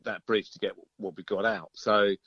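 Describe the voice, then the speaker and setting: A man, a band musician chatting as an interview guest in a hesitant, halting way that often repeats itself. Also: lowish voice, level tone, muffled recording, a crowd in the background. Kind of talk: casual interview chat.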